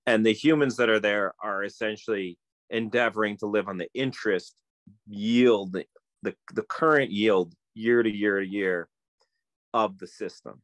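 A middle-aged man talks on a phone video call from home, his voice fairly low and the sound dry and close. He thinks aloud, meanders, and often stops to restart a sentence. The tone is relaxed and conversational. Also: a little slow, dry recording. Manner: thoughtful, meandering, many fillers and restarts. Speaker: guest, permaculturist. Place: home room with bookshelves, indoors.

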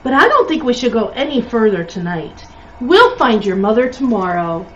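A woman voicing a young male storybook polar bear, caring, cautious and earnest, gently giving instructions. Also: fairly high pitch, very animated, normal speed, lo-fi sound, a little hiss or hum. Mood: reassuring.

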